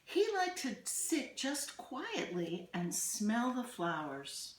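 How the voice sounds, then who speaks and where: A woman reading a children's picture book aloud, telling the story deliberately and expressively with pauses. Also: a little high, big pitch swings, slight background noise. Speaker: storybook reader, teacher. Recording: echoey room.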